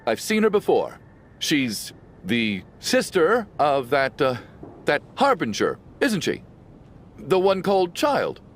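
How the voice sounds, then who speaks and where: An actor playing a blustering, hot-tempered man in a video game, combative, defensive and quick to sputter. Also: a little high, huge pitch swings, slight background noise, exaggerated voice. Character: blustering, hot-tempered, accusing male troublemaker. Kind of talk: video game cutscene acting.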